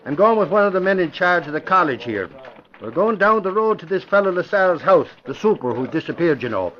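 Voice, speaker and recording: An actor playing an excitable, blunt, colloquial newspaperman sidekick in an old-time radio crime drama. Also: high-pitched, fairly quick, slight background noise. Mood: matter-of-fact. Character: excitable, plain-spoken male newspaper reporter sidekick. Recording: muffled old radio recording.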